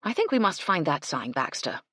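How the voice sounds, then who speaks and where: A woman voicing a composed, self-assured English lady of the house in a storybook, measured and commanding. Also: low voice, very animated, pushed and bright, no echo, studio quality, exaggerated voice. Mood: composed, musing.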